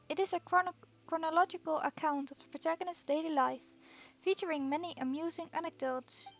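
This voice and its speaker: A woman streamer reading game text aloud steadily, sometimes restarting a word. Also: sits high.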